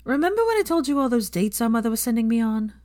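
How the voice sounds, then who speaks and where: Lady doing a harried young witch teacher in an audio story, forced chirpy, strained, wry, voice goes highish. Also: very animated, fast talker, a little mellow. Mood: weary, bitter. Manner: strained, evasive.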